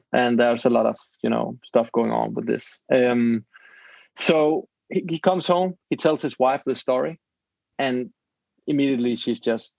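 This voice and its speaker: A man's voice: mid-range voice, creaky, darkish tone, no echo. A man, a podcast guest telling a story casually in English, searching for words and restarting his sentences. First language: Danish. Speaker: podcast host. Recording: dull lo-fi dry sound.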